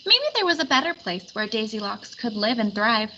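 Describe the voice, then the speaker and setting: A woman reading a children's picture book aloud as an expressive narrator. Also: a little high, normal speed, dull audio, slight background noise. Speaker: storybook reader.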